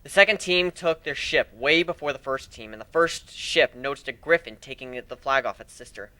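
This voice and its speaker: A man giving an amateur dramatic reading of a first-person story, expressive and a little unpolished. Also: mid-range voice, normal speed, dry recording. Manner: expressive, animated, with slips and restarts.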